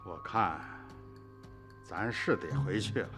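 A man's voice: sits low, takes it slowly, a bit bright, faint music behind. An actor playing a principled, earnest old farmer and grandfather in a radio drama, speaking in a plain, folksy way. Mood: grave, urgent.

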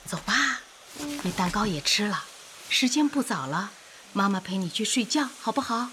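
An actress playing a kindly sister-in-law and young mother in a radio drama, gentle and hospitable. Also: medium pitch, big pitch swings, moderate pace, slight background noise. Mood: soothing. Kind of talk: Chinese radio drama acting.

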